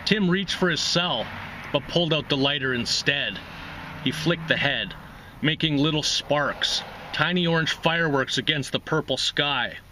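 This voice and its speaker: A man's voice: on the high side, a little slow, pushed and bright, slight background noise, poor audio. A man hosting a reading series, narrating a literary short story aloud.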